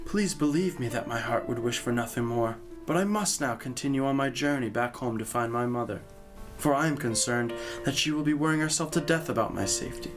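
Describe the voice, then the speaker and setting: An actor playing a young, impulsive and earnest chivalrous knight in a medieval audio drama, with formal, archaic diction. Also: male voice, mid-range voice, fairly quick, over music, close to an everyday voice. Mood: tender, regretful.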